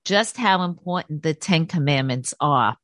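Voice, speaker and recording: A woman's voice: sits low, expressive, measured pace, fairly bright tone, no room sound, clean studio sound. A woman hosting a talk show, leading the interview in an earnest, emphatic way. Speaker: radio talk host.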